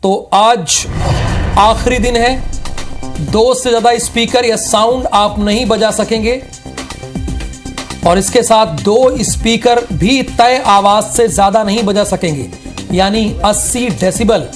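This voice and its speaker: A man anchoring live television news in an explanatory way, posing rhetorical questions. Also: sits high.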